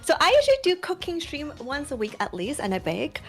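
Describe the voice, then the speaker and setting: A woman's voice: high-pitched, wildly swooping pitch, brisk, soft background music. A woman from England who grew up in Canada, a guest streamer chatting casually in a bubbly, giggly way. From England, raised in Canada. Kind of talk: casual live-stream chat.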